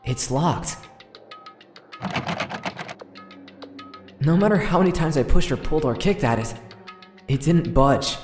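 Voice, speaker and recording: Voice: medium pitch, animated, normal speed, close to an everyday voice. A performer voicing an earnest teenage schoolboy, narrating his own story dramatically as an inner monologue in an amateur game dub. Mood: puzzled, discouraged. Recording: roomy echo, music underneath.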